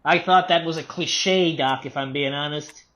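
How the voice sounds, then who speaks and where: A woman's voice: deep voice, moderate pace, a bit bright. A woman playing an unhinged, sarcastic male author in a radio play, taunting and erratic, swinging from muttering to outbursts.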